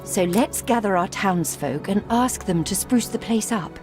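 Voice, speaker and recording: A woman playing a proud, sharp-tongued, brisk young gentlewoman in a video game, speaking in a crisp, formal manner. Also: mid-range voice, lively intonation, music in the background, lightly acted. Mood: determined, wistful. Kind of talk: video game character dialogue.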